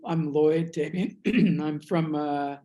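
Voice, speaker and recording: A man's voice: fairly high pitch, takes it slowly, mellow, soft background music. Hesitant, thoughtful American man. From United States. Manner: thoughtful, hesitant, many fillers and restarts. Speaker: artist. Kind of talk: reflective interview monologue.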